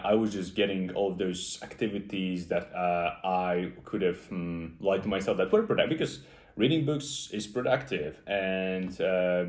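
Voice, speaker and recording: A man's voice: deep voice, darkish tone. A man in his twenties with a Polish accent, talking earnestly and off the cuff about lessons and goals, with many "uh" fillers. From Poland. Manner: earnest, reflective, with frequent "uh" fillers. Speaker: self-improvement YouTuber. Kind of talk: unscripted motivational monologue. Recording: echoey room.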